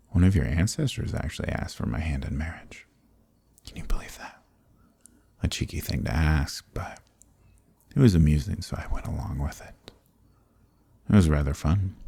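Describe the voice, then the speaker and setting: A man voicing an ancient archdemon who is smug, amused and condescending, in a breathy, murmured dark fantasy ASMR roleplay with teasing, leisurely politeness. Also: very deep voice, dry recording, full character voice. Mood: smooth, tempting.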